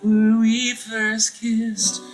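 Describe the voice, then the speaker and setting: A man from the area, singing his own song over guitar and then chatting softly. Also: sits high, completely flat delivery, extremely slow. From Boston area. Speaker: singer-songwriter guest. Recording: loud guitar music behind the singing.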